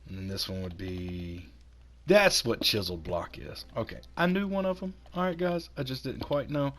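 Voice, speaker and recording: A man with a cold, hoarse and a little raspy, chatting casually while he builds in a video game and talks himself through each step. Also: medium pitch, expressive, moderate pace, a bit bright. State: hoarse from sinus infection. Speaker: gaming channel host.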